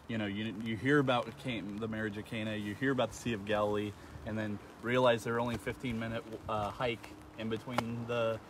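A man in his thirties from Iowa with an American accent, a pastor chatting casually in an outdoor interview. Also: on the low side. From Iowa, United States. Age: 30s. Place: outdoors on a lakeshore beach. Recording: clear outdoor ambience and vehicle noise.

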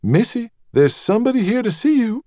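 A man's voice: very high voice, very animated, a little fast, warm tone, dry recording, clean studio sound, voice pushed up. A man voicing an anxious young maid in an audiobook, speaking urgently in Southern dialect.

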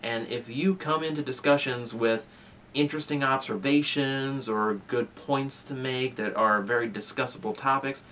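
A man's voice: mid-range voice, steady tone, normal speed, bright, forceful tone, distant mic, slight background noise. A young man giving earnest advice straight to camera, talking conversationally with fillers and restarts while gesturing with both hands. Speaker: toy reviewer. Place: small room with shelves of toys, indoors.

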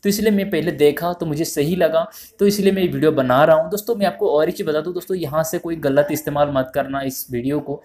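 Higher-pitched man explaining step by step. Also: quick pace, hardly any pauses, darkish tone. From India. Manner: repetitive, step-by-step explaining, addressing viewers as friends. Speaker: tutorial host. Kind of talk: informal phone app tutorial narration.

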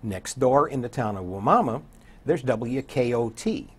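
An older man talking conversationally as an interview guest, a radio DJ with a husky voice. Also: mid-range voice, expressive, measured pace. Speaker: guest, radio program director and morning show DJ. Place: indoor TV talk show studio.

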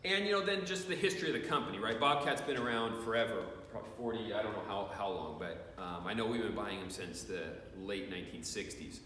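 A man's voice: lowish voice, fast, hardly any pauses, sharp tone, a little hiss or hum. A man in his forties giving a rambling, plainspoken equipment review in a large farm shed, with lots of fillers and restarts. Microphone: camera at a distance. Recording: echoey shed, voice far from camera.

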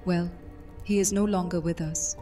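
A woman's voice: fairly low pitch, steady tone, moderate pace, dark tone, faint music behind, close to an everyday voice. A woman playing a stern, commanding vampire who heads her own house, in a fantasy audio drama. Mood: grave. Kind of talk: immersive fantasy roleplay audiobook acting.

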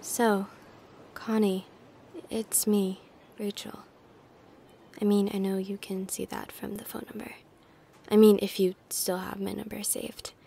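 An actress playing a young, quippy, rambling superheroine in an audio drama. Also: female voice, medium pitch, little pitch movement, a little slow, gravelly, warm tone, a little hiss or hum. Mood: awkward regret. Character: wisecracking young superheroine, lonely but cocky.

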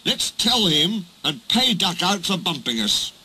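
Man putting on cheeky, high-pitched railway trucks. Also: big pitch swings, measured pace, bright, forceful tone, a little hiss or hum, heavily acted, low-quality recording. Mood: mocking, gleeful. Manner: rude, guffawing, mischievous.

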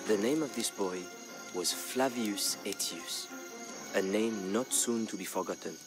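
A man narrating a historical tale as a dramatic scripted story, in a measured, grave storyteller's delivery. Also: lowish voice. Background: background music.

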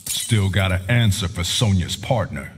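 A stern, protective American soldier in middle age for a fighting game. The voice is low, in a long reverb with faint noise. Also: male voice, animated, moderate pace. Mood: stern challenge. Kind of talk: video game fighter banter.

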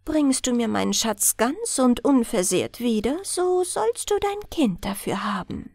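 Storybook water spirit played by a woman: stern, proud female nixie, highish voice, formal delivery. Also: big pitch swings, unhurried, creaky, a little mellow, no echo, studio quality, exaggerated voice. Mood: solemn, bargaining.

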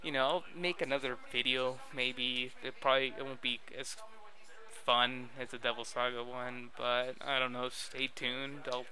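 A man's voice: medium pitch, moderate pace, low-quality recording. A young man talking casually in a rambling, slangy, self-deprecating vlog voice-over. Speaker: video creator addressing subscribers. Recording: steady background noise, amateur recording.

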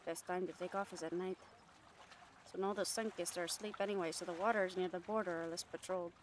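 A woman's voice: fairly low pitch, steady tone, fast talker, close to an everyday voice. Brash pirate catgirl done by a woman, cheeky n sarcastic, roleplay audio, faint ship noise behind. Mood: wry, sarcastic. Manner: bantering, sarcastic, salty-tongued. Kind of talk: roleplay audio drama acting.

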